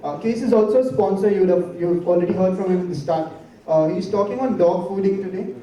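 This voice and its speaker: A man's voice: sits high, brisk, warm tone. A man hosting a conference, introducing the next speaker with hesitant fillers. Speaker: conference host. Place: conference hall. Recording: echoey hall, distant mic.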